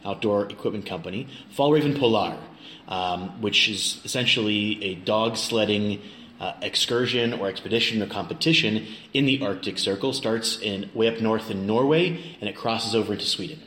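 A man's voice: lowish voice, moderate pace, a bit bright. A middle-aged American man from Kansas chatting casually and jokily as an interview guest. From Kansas, United States. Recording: roomy, clearly noisy location recording.

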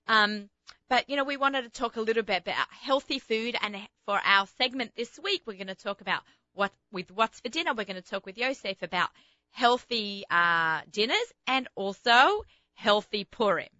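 A woman's voice: on the high side, expressive, moderate pace, bright, forceful tone, no room sound, lo-fi sound. A woman with an Australian accent tinged with American, hosting a radio show in a chatty, rambling way. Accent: Australian, slightly Americanized. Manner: chatty, rambling, many fillers. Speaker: radio host. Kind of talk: casual radio chat.

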